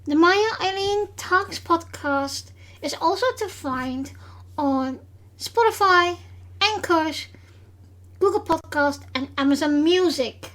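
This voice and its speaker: Slow, high-voiced Dutch woman. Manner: hesitant, halting, with frequent pauses. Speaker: podcast host. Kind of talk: solo episode recap.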